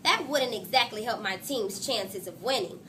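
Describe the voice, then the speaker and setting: A woman's voice: a little high, expressive, normal speed, bright, forceful tone, a little hiss or hum. A woman voicing a confident, competitive schoolgirl who loves sports, insistent and boastful, in a storybook reading. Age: child. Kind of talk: storybook character read aloud.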